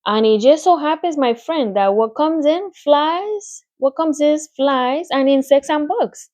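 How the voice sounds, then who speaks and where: A woman's voice: sits high, expressive, measured pace, darkish tone, voice pushed up. A woman reading a storybook aloud, voicing a clever, matter-of-fact female spider in a pleasant, thin voice with a put-on accent. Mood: lecturing. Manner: put-on accent, relishing, lecturing.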